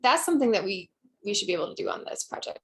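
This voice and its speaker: A woman's voice: medium pitch, big pitch swings, rapid-fire, a bit bright, a little hiss or hum. A woman student talking casually and tentatively in a class discussion, peppering her speech with filler words. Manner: tentative, heavy use of "like".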